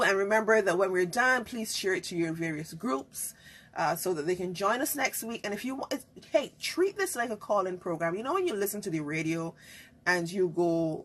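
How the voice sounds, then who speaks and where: A woman's voice: fairly low pitch, breathy edge. A woman who hosts a live online talk show. She chats casually, greets viewers and laughs often.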